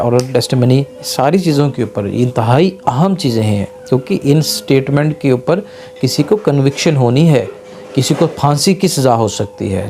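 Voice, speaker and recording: A man with a Pakistani accent gives an explanatory law lecture as an advocate, reading English passages slowly and haltingly, then explaining them. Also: medium pitch, vocal fry, mellow. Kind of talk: explanatory legal lesson mixing in English legal passages read aloud. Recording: noticeable background noise and hum.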